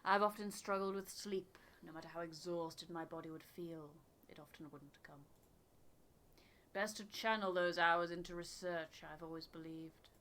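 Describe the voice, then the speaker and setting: A woman's voice: fairly low pitch, fairly even intonation, moderate pace, a bit bright. A woman co-commentating on a video game playthrough, reading dialogue aloud and chatting about it.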